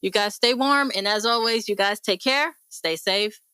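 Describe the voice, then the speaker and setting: A woman's voice: mid-range voice, normal speed, pushed and bright, clean tone, no room sound. A woman hosting a casual discussion show, chatting in an effusive, appreciative way.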